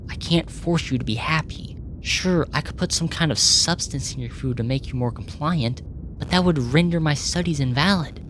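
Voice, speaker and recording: A woman's voice: moderate pace, natural voice. A woman playing a curious, earnest alien researcher in an ASMR roleplay, speaking formally and clinically, puzzled by human feelings. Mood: exasperated. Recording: noisy with low rumble.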